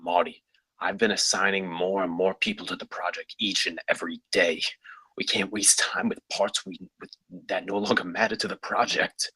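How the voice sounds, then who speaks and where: Man acting a deep, forceful, husky executive. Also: steady tone, normal speed, dry recording, exaggerated voice, rough recording. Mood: exasperated. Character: forceful, exasperated male project executive. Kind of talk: script table read.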